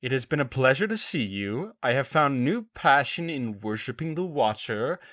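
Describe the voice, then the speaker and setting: A man's voice: mid-range voice, very animated, moderate pace, fairly bright tone, slight background noise. Man playing a pompous, chatty nobleman. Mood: smug, condescending. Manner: haughty, affected, florid speech. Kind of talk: fantasy audio drama acting.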